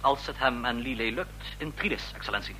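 An actor playing a deferential, fussy, nervous presidential aide in a radio drama, formal and prone to stammer. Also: male voice, medium pitch, brisk, pushed and bright, slightly rough, rough recording. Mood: earnest, reporting.

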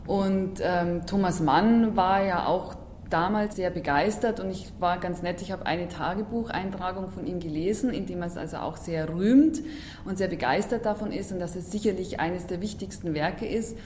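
A woman talking unscripted as an interviewee, in an echoey room with a faint rumble. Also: moderate pace, darkish tone.

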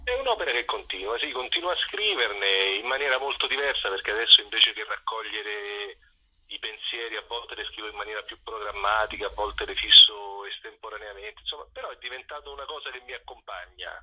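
A man's voice: fairly low pitch, lively intonation, fairly quick, sharp tone, slightly rough. A man being interviewed on radio over a phone line, talking reflectively and hesitantly as he thinks aloud. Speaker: poet and singer-songwriter guest.

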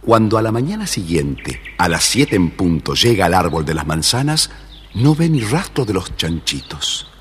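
A man's voice: deep voice, very animated, moderate pace. A man narrating a children's story in a dramatic, scripted storyteller style. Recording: reverberant, slightly noisy story record.

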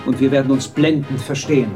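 An actor in a radio drama playing a sardonic failed film director, needling with sarcastic mock affection. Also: male voice, medium pitch, fairly even intonation, brisk, over music, lightly acted. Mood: bitter sarcasm.

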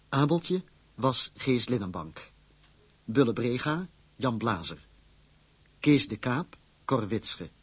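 A man reading closing credits as a radio announcer, in a measured, formal, scripted style. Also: mid-range voice, husky, dry recording.

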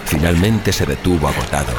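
A man's voice: a bass voice, lively intonation, brisk. A man narrating a scripted horror story for an audio drama in a dramatic style. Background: loud music.

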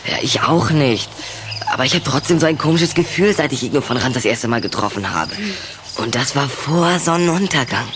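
A man's voice: medium pitch, expressive, brisk, noisy background, close to an everyday voice. A man playing an earnest, suspicious boy in a children's radio play, hesitant and prone to stammering. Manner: hesitant, stammering when flustered.

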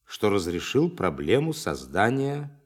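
An actor playing a forceful science official who addresses a meeting formally and emphatically in a radio play. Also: male voice, on the low side, big pitch swings, unhurried, breathy edge, heavily acted. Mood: grave, formal.

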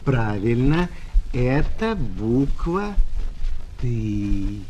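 Expressive exasperated male storyteller. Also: mid-range voice, very slow. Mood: wry storytelling. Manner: expressive, emphatic, fussily exasperated. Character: exasperated adult tutor of a little girl, narrating. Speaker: narrator and actor. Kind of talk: theatrical children's storytelling, Soviet audio play. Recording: noisy 1970s flexi-disc record.

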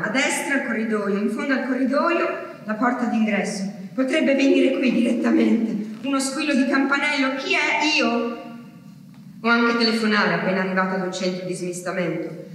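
A distant, heavily echoing hall carries an actress playing an anguished wife waiting for her husband to come back from war. She speaks her diary as a stage monologue, in short, deliberate fragments. The recording is noisy and far from the microphone. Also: a little high, close to an everyday voice. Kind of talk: dramatic theatrical diary monologue.

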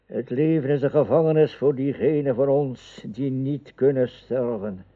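An actor playing a weary, three-hundred-year-old wizard and mentor in a radio play, solemn and wistful. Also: male voice, mid-range voice, moderate pace, lightly acted. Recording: narrow phone-like radio play sound.

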